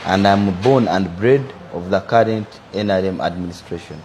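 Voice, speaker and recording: A young Ugandan businessman in his twenties, answering interview questions in a measured, reflective way. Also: low-pitched, darkish tone, slight background noise. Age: 20s. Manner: measured, philosophizing, repeats "for me, I believe". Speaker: businessman guest.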